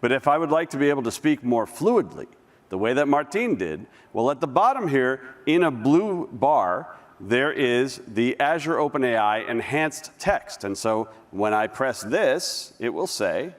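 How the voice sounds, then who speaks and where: Brief: male stage presenter, animated lively pitch, hall reverb, casual demo talk. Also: measured pace. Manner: conversational, with restarts while demoing. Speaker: conference presenter. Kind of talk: live tech demo presentation. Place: large conference hall stage. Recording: long reverb of a large hall.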